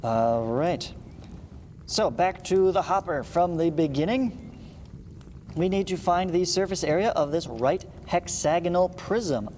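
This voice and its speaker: A man's voice: on the high side, darkish tone. A man giving a read-along lesson as a teacher, reading aloud and breaking off for informal, folksy explanations. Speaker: math teacher. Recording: echoey room, clearly audible background noise.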